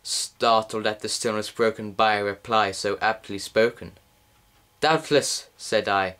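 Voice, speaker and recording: A man's voice: fairly low pitch, little pitch movement, normal speed, sharp tone, clear, resonant tone. A man reading a gothic horror poem aloud as an amateur, with solemn, theatrical phrasing. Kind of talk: dramatic gothic poetry recital.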